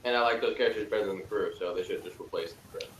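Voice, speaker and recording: A man with a lowish voice is heard in a very echoey room with some noise. He gives blunt, casual opinions as a reviewer of TV. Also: very fast.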